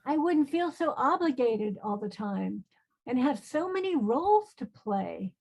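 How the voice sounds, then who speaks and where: A woman's voice: high voice, very animated, unhurried, gravelly, a little mellow, breathy edge, heavily acted, poor audio. A woman acting an earnest, proud, devout condemned queen in an online play reading. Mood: wistful, earnest.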